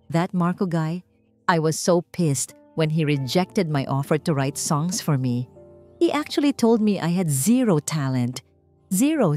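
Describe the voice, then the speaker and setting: A woman's voice: low voice, warm tone. A woman voicing a smug, spoiled rich bride who talks in a haughty, sneering, boastful way in a narrated story.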